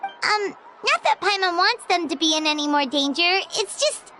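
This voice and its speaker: A woman voicing a tiny, childlike fairy companion in a video game, squeaky, bubbly and chatty in a perky manner. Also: big pitch swings, normal speed, bright, forceful tone, slight background noise, heavily acted. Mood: puzzled. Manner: perky, talks of herself in third person. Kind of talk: video game character acting.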